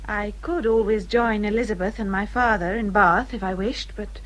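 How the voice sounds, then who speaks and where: An English actress playing a gentle, dutiful young gentlewoman in a period radio drama, with a polite, tactful, measured manner. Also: mid-range voice, steady tone, close to an everyday voice, poor audio. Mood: thoughtful.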